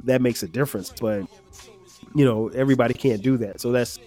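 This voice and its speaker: A man in his fifties, his voice slightly nasal and stuffed-up, talks through a solo podcast in a loose, meandering way, full of fillers and restarts. His voice sits at an ordinary middle pitch. Faint music plays quietly underneath. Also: a little fast. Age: 50s. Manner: relaxed, rambling, frequent fillers and restarts. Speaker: podcast host. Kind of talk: casual solo podcast reflection.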